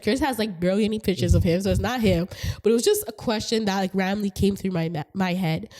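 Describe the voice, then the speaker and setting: Raspy, mellow-voiced woman host with a cold. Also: medium pitch. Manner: chatty, rambling, laughs often. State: hoarse from a cold, stuffy nose. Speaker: podcast host. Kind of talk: casual chat.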